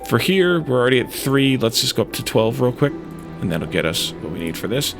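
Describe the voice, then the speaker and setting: A man with a creaky, gravelly voice at a middling pitch narrates his own gameplay. He thinks out loud in short, halting phrases, muttering to himself as he decides each step. Music is clearly audible behind him. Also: very animated. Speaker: let's play host. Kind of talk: gaming commentary, thinking aloud while playing.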